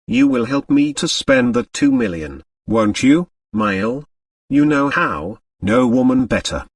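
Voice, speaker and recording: A man's voice: mid-range voice, big pitch swings, slow, dry recording, studio quality, recorded from a distance. A man playing a bitter, reckless man in an audiobook, with a brusque, mocking manner. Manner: sardonic, brusque. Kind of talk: audiobook character acting.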